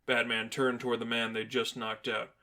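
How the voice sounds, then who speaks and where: A man's voice: medium pitch, flat delivery, moderate pace, pushed and bright. Man narrating a story. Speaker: fan fiction reader.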